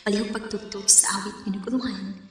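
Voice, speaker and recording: An actress playing an earnest young woman student in a Cebuano Filipino radio drama, with a husky voice. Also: mid-range voice, little pitch movement, fast talker, soft background music, close to an everyday voice. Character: Rain, hurt young woman, nursing student telling her story.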